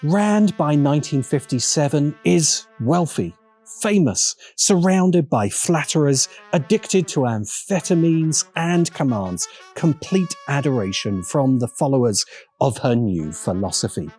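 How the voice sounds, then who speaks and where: A man's voice: a little high, lively intonation, a little slow, a little mellow. A man narrating a scripted video essay with wry, dramatic emphasis. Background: background music.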